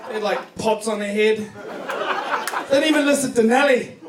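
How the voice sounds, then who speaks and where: Male stand-up comic, New Zealand accent, high voice, profane storyteller, recorded from a distance in a club. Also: moderate pace. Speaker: stand-up comedian. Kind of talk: stand-up comedy set. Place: comedy club stage before a live audience. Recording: distant echoey club recording, noisy laughing crowd.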